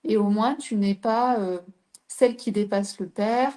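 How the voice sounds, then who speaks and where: Mellow-voiced woman coaching an audience. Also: mid-range voice, steady tone, a little slow. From southern France. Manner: explains with rhetorical questions to the audience. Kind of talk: live teaching talk.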